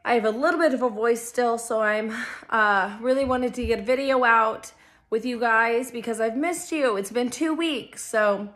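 Woman, 30s, raspy cold-worn voice, slightly high, chatty rambling vlog host, close phone mic at home. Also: normal speed, a bit bright. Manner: chatty, rambling with many fillers. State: hoarse from a cold, low energy. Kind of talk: casual chat to camera. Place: living room, indoors.

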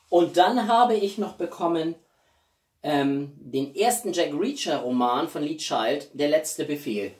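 A man in his forties chatting casually as a video blogger, with halting speech and enthusiastic asides. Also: medium pitch, darkish tone. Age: 40s. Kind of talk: casual chatty vlog presenting gifts. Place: small living room, indoors. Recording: roomy echo, distant microphone.

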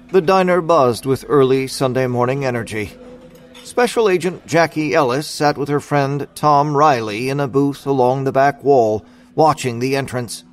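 A man reading scripted dramatic narration for an audio story. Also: mid-range voice.

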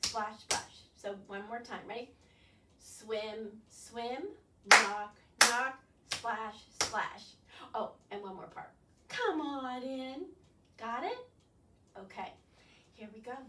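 An older woman leading a children's storytime as a librarian, animated and instructing, talking directly to young viewers with questions. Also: on the high side, takes it slowly, noisy background. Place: small living room, indoors. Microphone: far camera. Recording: roomy, distant camera microphone.

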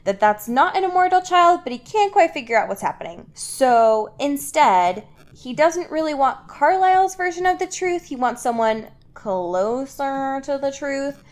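A woman's voice: high voice, big pitch swings, unhurried. A woman podcast host chatting casually and retelling a story in slangy language, acting out reactions as she goes. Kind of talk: casual, slangy chat recapping a book.

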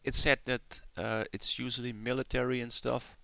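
A man's voice: medium pitch, level tone, normal speed, gravelly, dry recording. A man giving casual, off-the-cuff commentary on a screen recording, in an amused manner and with casual swearing. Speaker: narrator of a screen demonstration. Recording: hissy with loud radio static. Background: shortwave radio static and signals.

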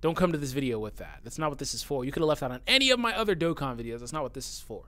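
Blunt young-sounding man with a smooth voice. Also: a little high, lively intonation, racing, a bit bright. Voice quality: youthful-sounding voice. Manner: blunt, swears often, gets heated. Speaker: YouTuber reading viewer comments. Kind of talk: casual talk to camera.

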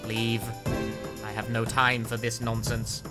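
A man's voice: mid-range voice, monotone, measured pace. A young man giving casual live gameplay commentary, thinking aloud in a wry, sweary way. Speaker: streamer, speedrunner. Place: indoors, at a desk with webcam. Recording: loud game music behind. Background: video game music.

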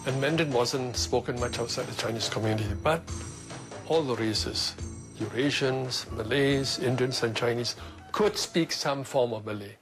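An older man in an interview, reflecting thoughtfully on the past in a measured way. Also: mid-range voice. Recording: interview with music underneath.

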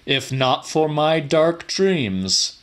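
A man giving a dramatic audiobook narration of weird fiction in a measured, deliberate way. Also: a little high, expressive, a bit bright, clean tone.

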